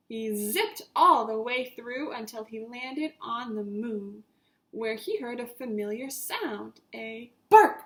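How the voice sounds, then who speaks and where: A woman with a high-pitched voice reads a children's story aloud like a teacher. She takes it very slowly, with animated, dramatic emphasis, and speaks straight to her young listeners. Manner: animated, addresses listeners directly as friends.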